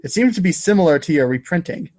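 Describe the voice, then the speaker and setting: Higher-voiced man, theatrical wry narrator. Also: lively intonation, fast talker, a little mellow. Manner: theatrical, wry, stumbles over long words. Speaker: narrator of a comedic reader's theater podcast. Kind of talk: dramatic reading with second-person narration.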